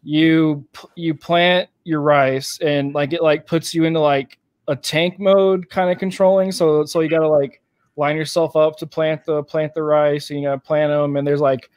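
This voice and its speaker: Easygoing man with a slightly high voice. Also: moderate pace, no room sound, clean studio sound. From Texas. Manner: relaxed, filler-heavy with lots of "like". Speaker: podcast co-host. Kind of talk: casual chat. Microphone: AirPods.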